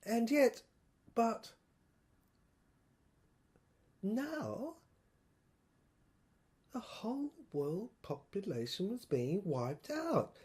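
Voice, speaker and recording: A woman playing a slow, husky, troubled soldier. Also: medium pitch, very animated, a little mellow, exaggerated voice. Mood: troubled, emphatic. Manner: halting, broken phrases with deliberate emphasis and repetition. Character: troubled infantry soldier piecing together a secret government document. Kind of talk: dramatic first-person monologue, audio drama narration.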